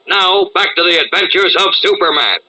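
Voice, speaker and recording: A man's voice: high-pitched, moderate pace, pushed and bright, poor audio. A man narrating a radio serial as its announcer, theatrical and urgent in a 1940s style.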